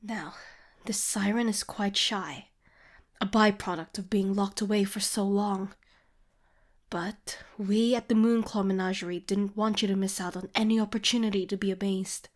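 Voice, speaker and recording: Female showwoman, acted for fantasy audio drama: theatrical tour guide, grand salesmanlike delivery, dramatic pauses. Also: medium pitch, steady tone, lightly acted. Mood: smooth, showy. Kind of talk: scripted fantasy audio roleplay.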